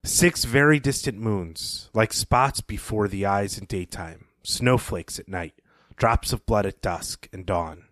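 A man co-hosting a book podcast, reading a passage aloud in a slow, measured way and talking about it casually. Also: on the low side, fairly bright tone, no room sound. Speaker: podcast co-host.

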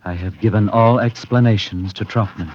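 A man's voice: on the low side, steady tone, normal speed, a little mellow, natural voice. A man playing a cold, secretive murderer in an old-time radio drama, curt and controlled. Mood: measured. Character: cold, secretive, elegantly dressed male murderer. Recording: muffled, noisy vintage radio recording.

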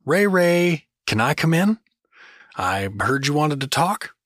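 Actor playing fumbling everyman dad, animated delivery. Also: fairly high pitch, takes it slowly, dry recording, clean studio sound, exaggerated voice. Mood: friendly. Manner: hesitant, fumbling, apologetic. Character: Doug, well-meaning but clueless everyman dad turned adventurer. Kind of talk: fantasy audio drama acting.

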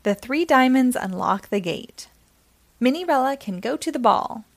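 A woman reading a story aloud to young children in an expressive, deliberate way with simple phrasing. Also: high-pitched, creaky.

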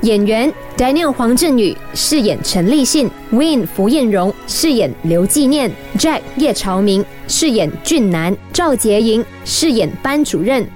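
一位带马来西亚华语腔的女播报员，照稿播报广播剧片头片尾式的内容。. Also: female voice, on the high side, animated, a little slow, hardly any pauses, a little mellow, soft background music. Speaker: announcer reading credits. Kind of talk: scripted radio announcement.

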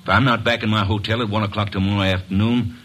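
A man playing a bitter, driven drifter bent on revenge in a 1940s radio drama, tense and husky. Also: lowish voice, fairly even intonation, fast, fairly bright tone. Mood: grim.

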